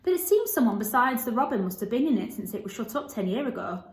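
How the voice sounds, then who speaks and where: A woman's voice: a little high, sing-song, fast talker, roomy sound, voice pushed up. A woman voicing a cheerful, hearty Yorkshire country boy of about twelve, speaking in thee-and-thou dialect for a storybook. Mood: puzzled, thoughtful.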